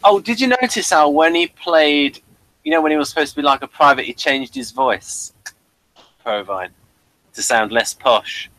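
A man's voice: a little high, normal speed, pushed and bright, no echo, poor audio. A man chatting casually as he co-hosts a review podcast. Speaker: podcast co-host.

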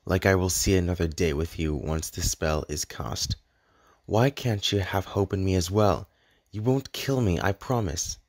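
A man playing a grave, lovelorn immortal in an animated drama, speaking earnestly in measured, formal, poetic phrases. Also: low-pitched. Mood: anguished, pleading. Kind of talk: dramatic animated-film voice acting. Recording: echoey hall reverb, music underneath.

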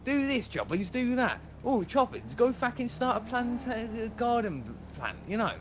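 A man's voice: very high-pitched, big pitch swings, normal speed, muffled recording, a little hiss or hum. Man doing a sweary British lad. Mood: annoyed. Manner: sweary banter, heavy slang. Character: crude cheeky British lad, swearing and brash. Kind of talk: roleplay acting.

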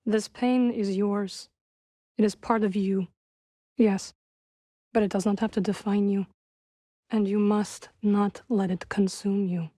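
A woman playing a solemn, guarded young witch warrior in a video game, dryly wry, with measured, formal phrasing. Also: mid-range voice, steady tone, dark tone, no room sound, studio quality. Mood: solemn, gentle. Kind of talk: video game character dialogue.

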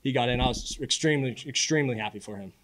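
A man in his early twenties, a college quarterback, answering press questions in a casual, earnest way with halting repeated words. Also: mid-range voice, fairly bright tone, rough recording. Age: early 20s. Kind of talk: postgame press conference answers. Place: indoor press room at a podium. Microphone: podium microphone.

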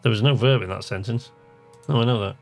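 A man's voice: mid-range voice, quick pace, gravelly, faint music behind. A man giving casual gameplay commentary, talking to himself and reading game text aloud in an easily amused, musing way. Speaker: gamer, video host.

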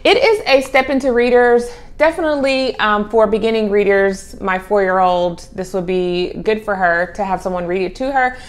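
A woman's voice: mid-range voice, normal speed, hardly any pauses. A middle-aged woman chatting casually to camera as a homeschooling vlog host, stretching and repeating key words for emphasis. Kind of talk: casual chat to camera, presenting children's books. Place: small home room with sloped ceiling. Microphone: clip-on lapel mic.

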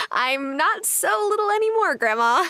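A woman's voice: extremely high pitch, bright, forceful tone, no echo, exaggerated voice. An actress playing a cheerful young woman in a video game, friendly and eager to help. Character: cheerful young woman newly moved to the farm. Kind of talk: animated video game voice acting.

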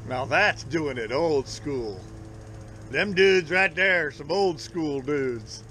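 Middle-aged man, Southern US accent, highish voice, folksy fishing-host banter, recorded outdoors on a boat with some engine noise. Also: animated, slow, bright, forceful tone. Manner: folksy, salty-tongued joking. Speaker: charter fishing captain and host. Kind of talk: casual chat while fishing. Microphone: action camera on the boat.

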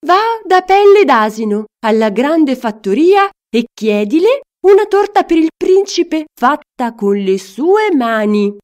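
A woman's voice: high-pitched, very animated, a little mellow, voice pushed up. A woman voicing a dramatic queen mother in a children's storybook, speaking emphatically and drawing out her words. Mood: commanding. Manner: emphatic, drawn-out.

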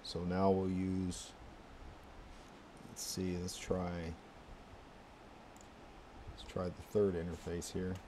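A man giving a casual, unscripted screencast walkthrough, halting and muttering as he thinks aloud through each step. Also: deep voice, completely flat delivery, background noise. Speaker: tutorial presenter.

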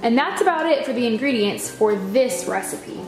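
A woman's voice: fairly high pitch, lively intonation, moderate pace. An American woman chattily walking through recipes step by step in a casual home cooking demonstration. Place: home kitchen, indoors. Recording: echoey kitchen with music behind. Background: music.

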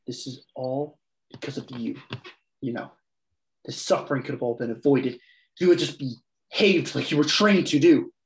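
A man's voice: mid-range voice, moderate pace, pauses often, a little hiss or hum, close to an everyday voice, poor audio. A young man reading the part of a taunting, vengeful Venezuelan gunman in a play over a video call. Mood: menacing. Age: young adult. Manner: taunting, slips into Spanish. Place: room at home. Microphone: computer mic on video call.

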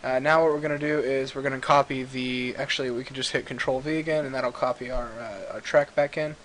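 A man giving a casual, rambling software tutorial, recorded on a computer microphone a little away from him. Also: medium pitch, fairly even intonation, a little fast. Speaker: tutorial host. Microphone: computer mic a little far away. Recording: clearly hissy amateur computer mic recording.

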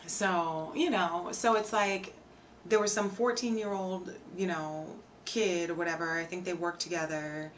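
A young woman with a hoarse, tired voice, chatting casually with her viewers on a home live stream and filling her talk with "like" and "um". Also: on the low side, fairly even intonation, a little slow, fairly bright tone, distant mic, slight background noise, poor audio. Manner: chatty, reads out chat names, many fillers. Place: home streaming room with shelves.

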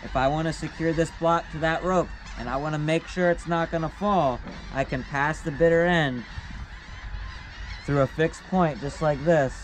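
A man's voice: fairly high pitch, fairly even intonation, normal speed, a little hiss or hum, rough recording. Young man teaching knots on deck. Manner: patient, step-by-step, plain-spoken. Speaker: sailing instructor. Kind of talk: hands-on knot-tying demonstration. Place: outdoors on a wooden boat deck by the water.

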